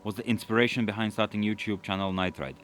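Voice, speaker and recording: A man who grew up in London, speaking Russian as his first language, answering viewer questions in a relaxed, rambling casual chat. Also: fairly low pitch, fast, slight background noise. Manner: relaxed, rambling, with frequent fillers and restarts. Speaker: automotive YouTuber.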